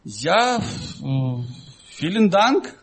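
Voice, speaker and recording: Slow-speaking man with a foreign accent. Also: sits high, big pitch swings, a bit bright, slightly rough. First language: not German. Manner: halting, simple broken phrasing, emphatic repetition. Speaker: guest preacher. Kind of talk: sermon-like teaching talk to a congregation.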